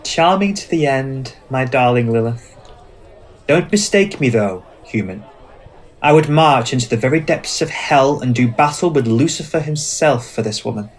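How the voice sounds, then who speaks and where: A man acts out an old-world male vampire with a medium-pitched voice. He is courtly and commanding, with passionate, poetic and formal phrasing, performed theatrically as ASMR roleplay. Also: expressive, moderate pace, natural voice. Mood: proud, devoted.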